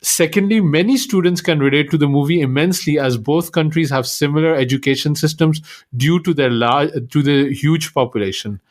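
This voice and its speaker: Hesitant man with a fairly high voice. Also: fairly quick. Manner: hesitant, restarts sentences, uses 'like' a lot. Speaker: podcast host. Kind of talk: casual film discussion.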